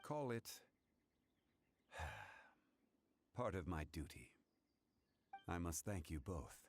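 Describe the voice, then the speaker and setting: An actor playing an ancient god in human form, speaking in a measured, formal, reflective way in a video game. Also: male voice, sits low, sing-song, vocal fry, slight background noise, full character voice. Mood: calm. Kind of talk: dramatic video game dialogue.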